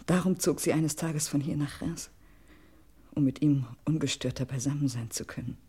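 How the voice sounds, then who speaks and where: An actress in a German radio crime drama playing an anxious, jealous wife, agitated and desperately worried. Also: female voice, deep voice, moderate pace, slight background noise, natural voice. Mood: bitter brooding. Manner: agitated, swings between hushed brooding and urgent calls.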